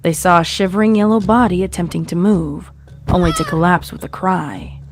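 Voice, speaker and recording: A woman's voice: fairly low pitch, normal speed. A woman reading a scripted story as its narrator, in a dramatic storytelling style. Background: faint music.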